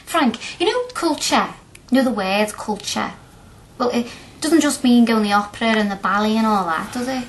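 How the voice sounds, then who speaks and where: A young working-class woman played by an actress in a radio drama. Her voice sits on the high side. She talks freely and bluntly, in an earnest, colloquial way. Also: normal speed, natural voice, poor audio. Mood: chatty. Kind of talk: radio play acting.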